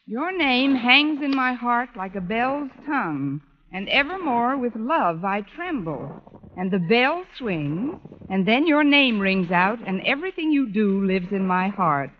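An actress playing a witty, sarcastic American schoolteacher with dry wisecracks in an old-time radio comedy. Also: female voice, fairly high pitch, sing-song, unhurried. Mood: amused surprise. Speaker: lead actress of a radio sitcom. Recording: dull, noisy old radio recording.

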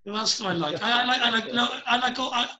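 Welsh male host, high and very bright voice, rambling with many ums, video call. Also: fast. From Wales. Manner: rambling, hesitant, many fillers and restarts. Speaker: podcast host. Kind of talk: casual video-call chat.

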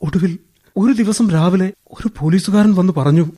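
An actor playing a wronged, embittered young ex-prisoner and elder brother in a radio drama, speaking in a halting, emotional way. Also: male voice, high voice, mellow, faint music behind. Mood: sorrowful. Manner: emotional, halting, heavy-hearted. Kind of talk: radio drama acting, dramatic first-person narration.

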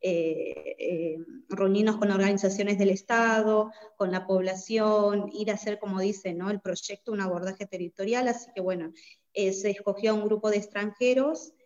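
A woman's voice: medium pitch, fairly even intonation, normal speed, darkish tone, muffled recording. A woman from Bolivia speaking as a podcast guest, explaining her work conversationally and checking in with little tag questions. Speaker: podcast guest, migration social worker. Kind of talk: conversational interview answers.

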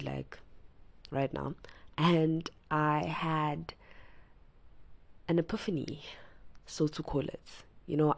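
Low raspy woman, hushed late-night talk. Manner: hushed and soft-spoken, often near a whisper; rambling, with constant "you know" and "like". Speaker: podcast host. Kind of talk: casual personal chat. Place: home at night, lying in bed.

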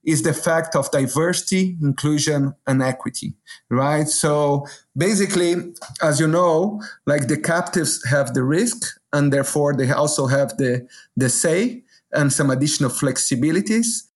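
A middle-aged man from Brazil speaks as an executive guest on a podcast. His voice sits a little higher than most men's as he gives his interview answers. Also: unhurried.

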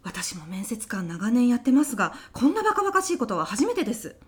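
Woman acting as formal, high-voiced corporate interviewer. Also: sing-song, fast talker, breathy edge. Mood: exasperated. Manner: formal, polite business speech. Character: polite, exasperated veteran female corporate interviewer. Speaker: job interviewer. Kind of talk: audio comedy sketch acting.

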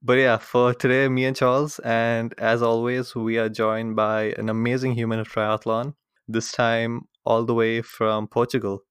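Male podcast host, smooth clear voice, dry close sound, upbeat even delivery. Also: medium pitch, unhurried, dry recording. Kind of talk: podcast interview, scripted show opener.

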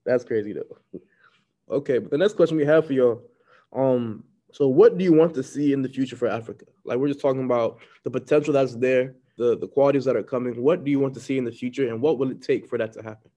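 A young man hosting a casual interview, easygoing and quick to laugh while asking his guests questions. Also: medium pitch, warm tone. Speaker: host. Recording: slightly muffled, lo-fi.

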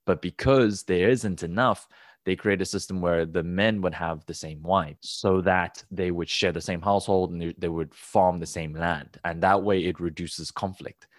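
A man's voice: deep voice, steady tone, moderate pace, no echo, clean studio sound. A young man co-hosting a casual podcast, talking in loose rambling sentences with fillers and restarts. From Taiwan. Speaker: podcast co-host.

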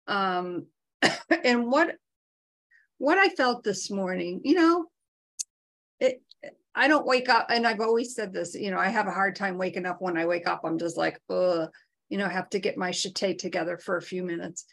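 A woman co-hosting a live show over a video call. She talks in a frank, down-to-earth way and pokes fun at herself. Her voice sits at an ordinary middle pitch. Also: moderate pace, gravelly, a bit bright. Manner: frank, down-to-earth, self-deprecating. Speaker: co-host of a live talk show. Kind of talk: casual motivational chat.

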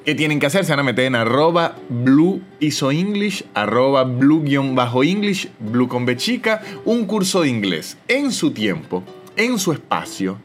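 A man's voice: mid-range voice, moderate pace, faint music behind. Chatty Venezuelan man hosting a podcast. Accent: Venezuelan Spanish. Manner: chatty, animated, swings between loud and soft. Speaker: podcast host. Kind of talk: casual solo podcast monologue with ad reads.

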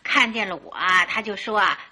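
An actress playing a bustling, talkative landlady in a radio drama, with a husky voice and exclamatory delivery. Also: medium pitch, moderate pace, bright, forceful tone. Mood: fussy, chatty. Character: chatty, bustling female landlady. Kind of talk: Chinese radio drama acting.

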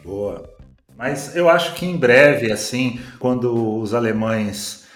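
A man's voice: mid-range voice, slow pace. A man with a Brazilian accent hosting a podcast in casual, conversational Portuguese. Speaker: podcast host. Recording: roomy echo, music behind.

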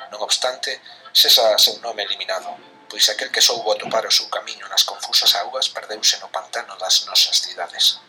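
A man's voice: fairly low pitch, sharp tone, slight background noise, poor audio. A man with a Galician accent solemnly declaiming scripted radio drama narration.

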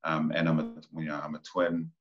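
A low-pitched man with a New Zealand accent talks casually as an interview guest. He speaks haltingly, restarting his sentences and filling gaps with ums. The sound is dull and lo-fi. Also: monotone. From New Zealand, Samoan-born. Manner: halting, many fillers and restarts. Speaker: guest, film actor.

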